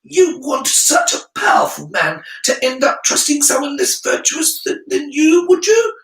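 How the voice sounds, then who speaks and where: A man's voice: extremely high pitch, wildly swooping pitch, a little slow, vocal fry, a bit bright, voice pushed up, exaggerated voice. A man voicing a boy's inner voice, urging and slyly persuasive, in a storybook reading. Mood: sly, insinuating. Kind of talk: storybook character voice in audiobook reading. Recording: roomy, distant from the mic.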